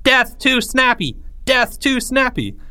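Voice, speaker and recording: A hysterical little third grader as a male storyteller acts it, very high and loud. The child cries out in shrill alarm, with big swoops of pitch. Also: very animated, slow pace, smooth, no room sound, exaggerated voice. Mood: angry chanting. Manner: screaming, shrieking. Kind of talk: acted dialogue in a children's story.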